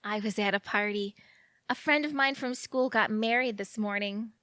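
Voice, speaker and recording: A woman's voice: mid-range voice, normal speed, sharp tone, no echo, clean studio sound, lightly acted. Dreamy, talkative young small-town woman played by an actress. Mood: chatty, frank. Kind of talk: naturalistic audio drama dialogue.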